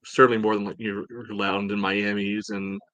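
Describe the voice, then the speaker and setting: Fast-talking man with a bright, forceful voice. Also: on the low side, little pitch movement, no room sound. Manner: informal, peppered with "you know". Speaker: podcast co-host. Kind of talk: casual sports podcast chat.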